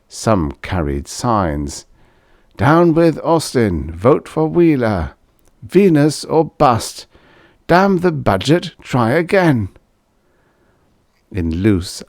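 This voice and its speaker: A man's voice: low voice, vocal fry, darkish tone, dry recording, clean studio sound. A man reading vintage science fiction aloud as an audiobook narrator, in a scripted storytelling style.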